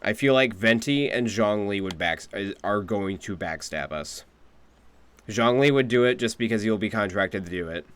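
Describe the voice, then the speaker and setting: A man's voice: lowish voice, a bit bright, no echo. A man giving casual, conversational playthrough commentary as a game streamer.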